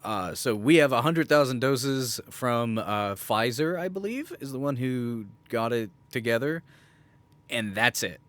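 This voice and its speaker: A man's voice: medium pitch, animated, unhurried, fairly bright tone, dry recording. A man co-hosting a podcast in a casual, bantering, joking way. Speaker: podcast co-host. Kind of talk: casual chat.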